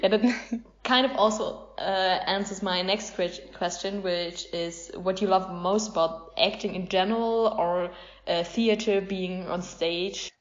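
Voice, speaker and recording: A woman's voice: medium pitch, steady tone, unhurried, muffled recording. A young woman hosting a podcast, interviewing in a casual, agreeable and encouraging way. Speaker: podcast host.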